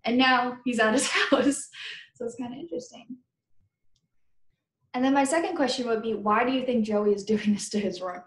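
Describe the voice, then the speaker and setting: Higher-voiced woman teacher reading aloud, roomy echo. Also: normal speed, long pauses. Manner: explanatory, questioning, addressing children. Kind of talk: children's read-aloud with questions to pupils.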